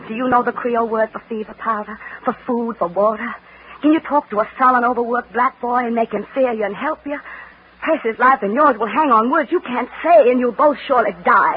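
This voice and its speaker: A woman's voice: on the high side, natural voice. An actress playing a strong-willed, pleading Southern woman in a theatrical 1940s radio drama. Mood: pleading, resolute. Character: strong-willed, impassioned Southern belle and her devoted rival wife. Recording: noisy, muffled vintage radio broadcast.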